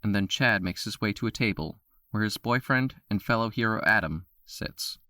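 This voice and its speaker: A man giving steady, descriptive scripted narration for an audio drama. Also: fairly low pitch, moderate pace, dry recording, clean studio sound.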